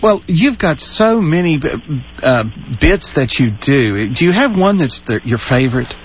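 A man co-hosts a radio chat, tossing in quick quips and chuckles. His voice is fairly high and swings around a lot. The broadcast sounds dull with a faint hiss. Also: big pitch swings. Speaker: radio co-host. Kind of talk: casual radio interview chat.

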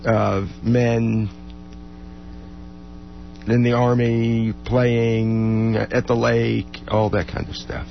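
A man co-hosting a music radio show in casual, unscripted banter, halting and repeating his words as he goes. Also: medium pitch, level tone, creaky, highs cut off. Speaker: radio co-host who plays music.

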